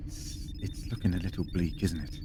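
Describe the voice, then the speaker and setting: Guy acting an eccentric sci-fi adventurer man, lowish voice, flippant and curious, noisy backdrop. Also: fairly even intonation, a little fast, lightly acted. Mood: inquisitive, wry. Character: whimsical, eccentric male time-travelling adventurer scientist. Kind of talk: science fiction audio drama acting. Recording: noticeable background noise.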